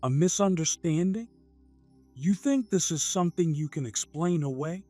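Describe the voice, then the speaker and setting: A man's voice: a little high, animated, moderate pace, dark tone, faint music behind, lightly acted. A man playing a stern, authoritative older company president in a story drama, commanding and angry. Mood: accusing. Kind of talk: scripted dramatic story dialogue.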